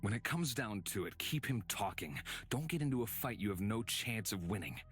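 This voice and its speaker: A man voicing a cryptic, aloof stranger in a visual novel, mocking and measured with an ominous edge. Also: fairly low pitch, expressive, fairly bright tone, soft background music. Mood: ominous, taunting. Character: cryptic, taunting male stranger, shrewd and aloof.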